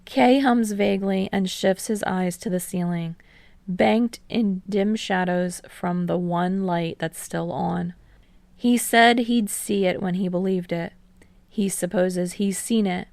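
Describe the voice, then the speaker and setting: A woman narrates fiction in an audiobook style. Her delivery is slow and measured, with a creaky edge to the voice and a close, dry sound. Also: medium pitch, steady tone, a little mellow, no echo. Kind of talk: scripted story narration.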